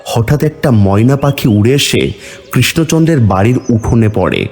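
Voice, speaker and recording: Animated male fairy-tale narrator. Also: mid-range voice, normal speed, soft background music. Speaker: storyteller narrator.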